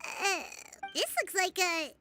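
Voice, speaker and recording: A woman voicing a small, excitable, childlike fairy companion in a video game, with a squeaky voice. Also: takes it slowly. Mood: curious. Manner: talks about self in third person. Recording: loud game music underneath.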